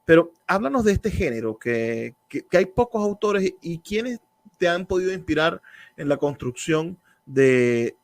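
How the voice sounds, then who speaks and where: A man's voice: fairly high pitch, big pitch swings, measured pace, gravelly, no echo. Male radio host, formal announcer. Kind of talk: radio show hosting and interviewing.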